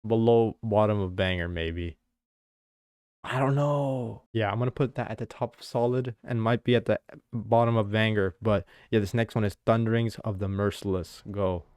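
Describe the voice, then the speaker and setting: A man chatting casually as he reacts to game music, excitable and thinking aloud as he ranks tracks. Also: on the low side, normal speed, dry recording, clean studio sound. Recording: music playing in background.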